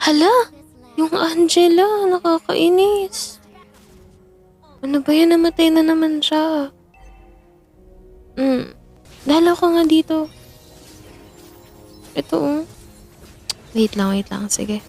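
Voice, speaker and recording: A woman's voice: very high voice, slow pace, pauses often, a little mellow, soft background music, exaggerated voice. A woman performing an audio roleplay as a playful young best friend who teases, giggles and backtracks when flustered. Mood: teasing. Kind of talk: audio roleplay, chatting while gaming.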